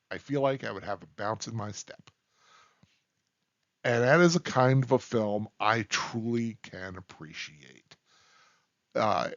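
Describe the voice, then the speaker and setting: A man giving an unscripted, rambling film review in a halting, deliberate way with emphatic stresses. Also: medium pitch, fairly bright tone, no echo, muffled recording.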